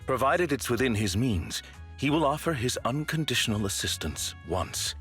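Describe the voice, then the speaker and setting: A man's voice: medium pitch, big pitch swings, moderate pace, a bit bright, faint music behind. A man voicing a formal, composed butler figure in a game cutscene, polite and precise. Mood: measured.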